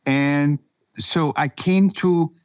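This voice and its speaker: A man from Austria with an Austrian accent, telling his story off the cuff as a podcast guest, halting and repeating words for emphasis. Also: a little high, smooth, no echo, clean studio sound. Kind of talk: spontaneous personal storytelling.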